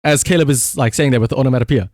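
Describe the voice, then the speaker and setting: A man's voice: medium pitch, very fast, darkish tone, dry recording, clean studio sound. A man doing casual live game-stream commentary, swearing freely and repeating short command words.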